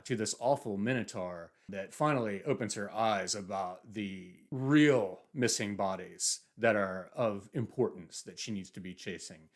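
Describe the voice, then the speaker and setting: A man reviewing a novel for an online book channel, thinking aloud at an unhurried pace. His voice sits at a middle pitch, and he muses over ideas as he talks them through. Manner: thoughtful, musing, reads passages aloud.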